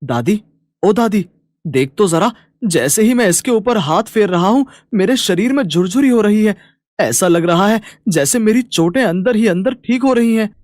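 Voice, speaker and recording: A man plays a young farmer grandson in a village tale, gentle and devoted. He speaks earnestly and with emphasis, in a dry recording free of room sound. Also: high-pitched, expressive, normal speed, no room sound. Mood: amazed.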